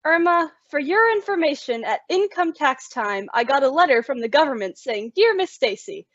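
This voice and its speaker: A woman's voice: sits high, very animated, moderate pace, no room sound, highs cut off. A woman playing a sensible, wry young working woman in an old-time radio sitcom, patient but exasperated.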